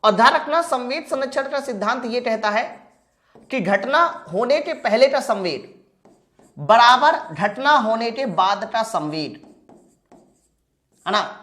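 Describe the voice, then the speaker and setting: A man's voice: extremely high pitch, expressive, a little slow, long pauses, pushed and bright. A man teaching an exam-prep lesson, asking and answering his own questions as he addresses students directly. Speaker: physics teacher. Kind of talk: exam-prep lesson, working through problems aloud.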